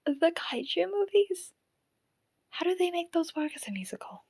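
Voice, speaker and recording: Woman as a wry, creaky-voiced theatergoer. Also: very high voice, very animated, moderate pace, a little mellow, no room sound, heavily acted. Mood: bemused. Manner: dry, casual banter. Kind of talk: conversational audio drama acting.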